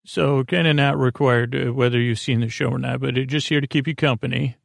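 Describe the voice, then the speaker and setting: A man with a creaky voice hosting a bedtime podcast in a halting, meandering monologue, gently reassuring as he stammers and circles back on his phrases. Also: medium pitch, steady tone, no echo, studio quality. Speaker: podcast host.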